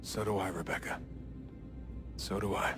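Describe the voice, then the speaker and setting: A man's voice: low-pitched, fairly even intonation, normal speed, over music, natural voice. An actor playing a blunt, frustrated young American man, a reluctant hero in a video game. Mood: thoughtful. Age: young adult. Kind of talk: naturalistic video game acting.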